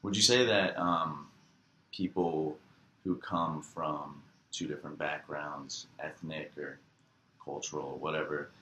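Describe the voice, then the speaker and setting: A man with a very deep voice asks long, rambling interview questions, padded with "um" and "like". He sounds echoey, as if in a bare room, with a faint hiss behind him. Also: fairly bright tone.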